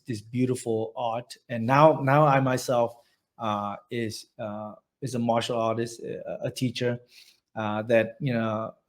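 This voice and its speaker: A man's voice: medium pitch, a little mellow. A middle-aged man from Vietnam with a Vietnamese accent, telling his life story in an oral history interview, rambling, with many restarts and fillers.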